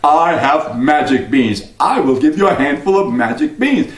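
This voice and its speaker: A man's voice: fairly high pitch, very animated, moderate pace, distant mic. A man doing a slick, mysterious stranger. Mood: sly. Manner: slick, persuasive. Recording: echoey room, voice off-mic.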